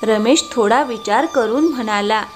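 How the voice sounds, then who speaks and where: A woman's voice: fairly high pitch, measured pace, slight background noise. A woman narrating a scripted cartoon story.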